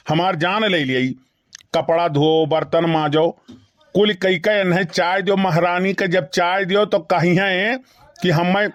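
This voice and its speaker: A man voicing an exasperated, skinny henpecked village husband in a comedy cartoon, grumbling repetitively with an Awadhi accent. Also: high voice. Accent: Awadhi, eastern Uttar Pradesh. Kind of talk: rustic comedy cartoon dialogue.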